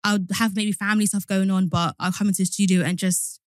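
A woman's voice: mid-range voice, quick pace, no echo, clean studio sound. Chatty young woman hosting a podcast. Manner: chatty, slangy and animated. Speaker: podcast host. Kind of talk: casual podcast chat.

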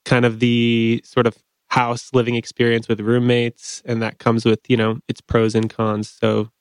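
A man in his late twenties, a podcast guest who talks quickly and casually with a light joking tone. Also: mid-range voice, monotone, clear, resonant tone, dry recording. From Bulgaria, raised in the US. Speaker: guest, MBA student.

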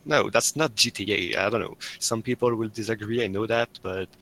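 A man from Quebec with a French-Canadian accent, a podcast guest whose first language is French, answering in a relaxed, hesitant way. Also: fairly low pitch, a little fast, rough recording. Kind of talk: casual chat.

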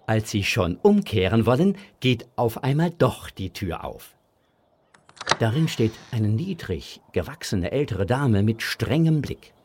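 A man narrating a scripted German children's audio story with a slightly husky voice. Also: fairly low pitch, sing-song, a little slow, a little mellow, a little hiss or hum.

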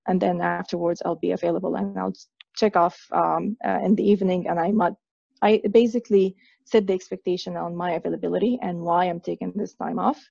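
A woman from Egypt in her thirties talks haltingly, full of fillers and restarts. Her voice is heavily gravelly and mellow. The recording is dry and dull. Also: on the low side, steady tone, no room sound. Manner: hesitant, many fillers and restarts. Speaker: guest. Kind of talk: conversational interview.

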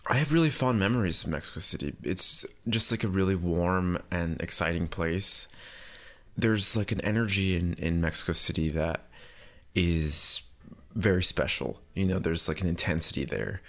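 A man's voice: low-pitched, moderate pace, a bit bright. A young man, an artist born in Puerto Rico, answering interview questions casually, rambling with lots of likes and you knows. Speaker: artist guest.

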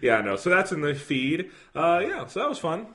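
Podcast co-host, male, a bit hoarse, bright forceful voice, rambling chatty delivery. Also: fairly high pitch, big pitch swings, moderate pace. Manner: rambling, self-deprecating, many fillers. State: slightly hoarse. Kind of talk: casual podcast chat.

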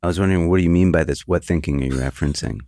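A man's voice: low-pitched, warm tone, dry recording, clean studio sound. A man interviewing an artist, asking careful questions and framing them at length. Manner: measured, attentive, frames questions at length.

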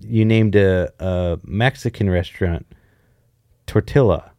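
A man's voice: deep voice, a little slow, a little mellow, dry recording. A man co-hosting a podcast in casual chat, rambling and joking, often interrupting himself. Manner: rambling, self-interrupting, jokey. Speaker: podcast co-host.

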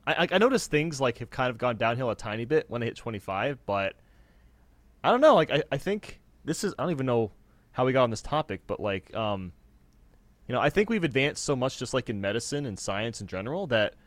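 A man's voice: medium pitch, very animated, a little fast, no echo. A man in his twenties talking casually and giving his opinions in a podcast conversation. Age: 20s.

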